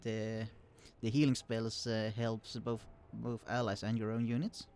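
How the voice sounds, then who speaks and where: A man's voice: on the low side, flat delivery, a little slow. Man explaining a strategy game. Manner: explanatory, many fillers and restarts. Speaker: let's play host. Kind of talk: casual gameplay commentary. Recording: quiet voice, turned down to hide computer hum.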